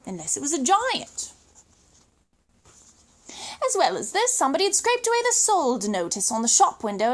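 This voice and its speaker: Animated, high-voiced woman storyteller. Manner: dramatic, emphatic, suspenseful pauses. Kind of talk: expressive children's story read-aloud.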